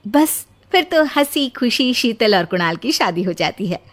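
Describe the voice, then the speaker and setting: A woman's voice: a little high, big pitch swings, measured pace, voice pushed down. A woman playing a storyteller who narrates a family moral tale in scripted style. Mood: storytelling.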